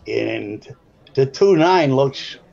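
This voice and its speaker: An older man with a South Side Chicago accent rambles through pool commentary in a gruff, folksy way. He drifts into storytelling between shots. The recording sounds dull and lo-fi. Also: mid-range voice, expressive, very slow, a little mellow. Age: 70s.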